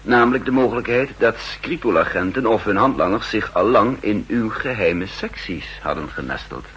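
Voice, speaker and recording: An actor playing a shrewd, self-assured chief of counter-espionage in a 1960s radio drama, pedantic, dryly ironic and in command. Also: male voice, fairly low pitch, expressive, moderate pace, fairly bright tone, slight background noise. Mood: assured, explaining. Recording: old 1960s radio recording.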